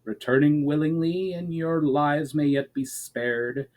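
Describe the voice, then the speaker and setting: A man's voice: steady tone, unhurried, a little mellow, close to an everyday voice. A man voicing a confident, menacing middle-aged military commander with a growl, in a fantasy story reading. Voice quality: deep, growling. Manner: confident, commanding, clipped threats.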